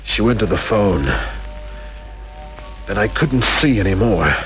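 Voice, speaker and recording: A man's voice: fairly low pitch, animated, measured pace. An actor playing a tough, wry male private detective in an old-time radio crime drama, narrating and talking in terse hard-boiled phrases. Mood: groggy, pained. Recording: old radio recording, faint music behind.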